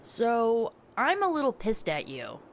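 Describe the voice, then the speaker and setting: A young heroine in a video game. Her voice is fairly high and very expressive, with a blunt, teasing, casual delivery. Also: measured pace, a little hiss or hum, full character voice. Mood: mock annoyed.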